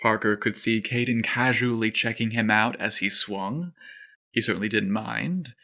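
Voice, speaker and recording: A man reading a scripted story aloud with expressive, playful and dramatic delivery. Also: fairly low pitch, moderate pace. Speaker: storyteller podcast narrator.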